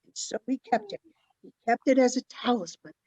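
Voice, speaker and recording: A woman playing an elderly, forgetful, genteel mother in a stage play, telling her story in a dramatic, halting way. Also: a little high, big pitch swings, dark tone, husky. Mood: earnest reminiscence. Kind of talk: stage acting in an online one-act play. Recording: dull lo-fi online call audio.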